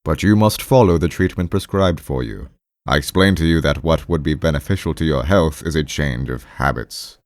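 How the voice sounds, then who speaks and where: A man's voice: rumbling low, normal speed, dry recording, clean studio sound. A man voicing a pompous, brusque doctor in an audiobook, ponderous and emphatic, with hems and haws. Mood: prescriptive. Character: pompous, brusque male doctor lecturing his patient. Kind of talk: audiobook character voice.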